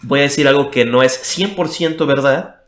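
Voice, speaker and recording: A man's voice: mid-range voice, moderate pace, fairly bright tone, echoey room. A young Mexican man chatting casually as a podcast guest, self-mocking and full of "o sea" fillers. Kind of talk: casual conversation.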